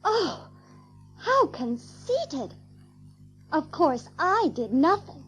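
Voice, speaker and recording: A woman's voice: sits high, sing-song, very slow, loud music behind the voice, exaggerated voice. A woman playing a prim and proper, motherly young girl in an audio drama of a storybook. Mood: offended.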